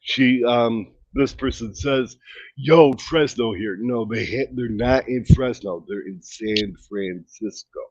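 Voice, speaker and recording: A man joining a livestream as a guest, explaining things in a hesitant, halting way. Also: medium pitch, a little mellow, slight background noise. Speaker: stream guest. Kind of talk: casual livestream chat. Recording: dry, dull lo-fi call audio.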